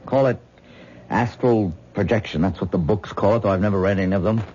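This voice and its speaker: A man's voice: on the low side, a little fast, natural voice. An actor playing an intense, earnest man who lectures his wife on astral projection in a vintage radio drama. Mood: rapt, lecturing. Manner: dreamy, earnest, lecturing, gently condescending. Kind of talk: 1970s radio drama acting. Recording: muffled old radio broadcast with faint hiss.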